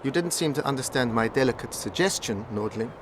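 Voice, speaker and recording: An actor playing an officious, suspicious Imperial soldier in a fantasy game, curt and commanding. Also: male voice, mid-range voice, animated, fairly quick, a little hiss or hum, close to an everyday voice. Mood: stern, challenging. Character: officious, suspicious Imperial camp guard, man. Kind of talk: scripted fantasy game dialogue.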